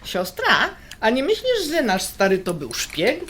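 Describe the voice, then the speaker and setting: An actress playing a blunt, bitter adult sister in an audio drama, with a sardonic, needling way of talking. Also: female voice, fairly high pitch, wildly swooping pitch, a little slow, a bit bright, a little hiss or hum, full character voice. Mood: sardonic, confiding. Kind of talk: naturalistic audio drama dialogue.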